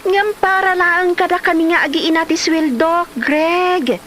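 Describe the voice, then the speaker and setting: Woman playing aggrieved Filipino young mother, piercing bright tone, slow dramatic wailing delivery, comedy drama, some background noise. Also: extremely high pitch. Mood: scolding, indignant. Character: aggrieved, tearful young mother, wife of deadbeat husband.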